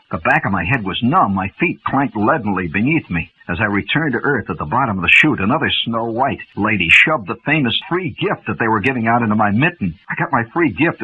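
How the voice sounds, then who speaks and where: A tinny, band-limited old radio recording with faint noise carries an American man telling a story. His delivery is theatrical and mock-epic, with pitch swooping widely for comic drama.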